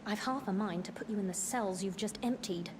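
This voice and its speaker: A woman's voice: medium pitch, fairly quick, lightly acted. Game role: aristocratic female commander woman actor, middle register, stern, formal, dryly wry, heavy background noise. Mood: stern reprimand. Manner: formal, authoritative, clipped reprimands. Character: stern noblewoman commander, imperious, wry, secretly lenient. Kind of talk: dramatic video game acting. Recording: loud background ambience noise.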